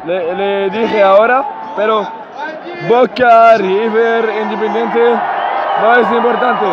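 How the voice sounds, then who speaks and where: Excited high-voiced man shouting over a crowd. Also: dull audio. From Tunisia. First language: not Spanish. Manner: excited, often shouting, halting Spanish with restarts. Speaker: interviewed football fan. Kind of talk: street interview. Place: outdoor fan festival with crowd. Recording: loud crowd and music around.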